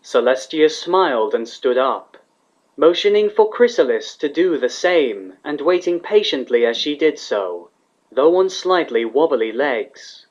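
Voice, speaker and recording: A man's voice: fairly high pitch, lively intonation, unhurried, lo-fi sound. A man reading scripted fantasy fiction aloud as the narrator of a story.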